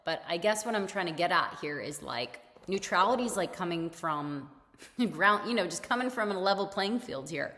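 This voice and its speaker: Lower-pitched woman hosting a motivational podcast. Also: animated, moderate pace, bright, forceful tone, big-room sound. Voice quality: slightly raspy voice. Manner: casual and conversational, repeats key points, laughs between phrases. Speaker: podcast host. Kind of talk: motivational solo podcast talk.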